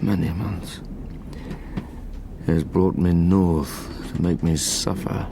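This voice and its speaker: An actor playing a weary, dying, tormented scientist in a gothic audio drama, speaking gravely and haltingly. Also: male voice, deep voice, fairly even intonation. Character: weary, dying, tormented male scientist warning against ambition. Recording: clear background ambience noise.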